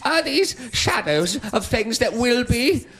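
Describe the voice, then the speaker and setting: A man's voice: extremely high pitch, very animated, takes it slowly, pushed and bright, heavily acted. A man playing a frightened old miser, pleading theatrically with a spirit in stammering, exclamatory speech. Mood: desperate, terrified. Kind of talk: dramatic stage-style monologue.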